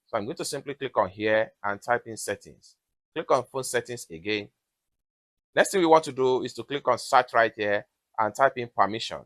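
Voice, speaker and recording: A man's voice: mid-range voice, a bit bright, no echo. Man narrating a tutorial, dry close sound. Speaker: tutorial host. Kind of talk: step-by-step phone tutorial narration.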